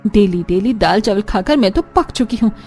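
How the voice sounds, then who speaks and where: A woman's voice: mid-range voice, lively intonation, moderate pace, a little mellow, slightly rough, soft background music. A woman voicing an exasperated young daughter-in-law who grumbles and complains in a cartoon story. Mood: fed up. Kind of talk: Hindi cartoon story acting.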